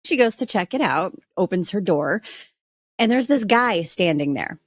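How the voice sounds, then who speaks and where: A woman's voice: medium pitch, animated, normal speed, no echo. A woman podcast panelist telling a true crime story in a wry, colourful, informal style.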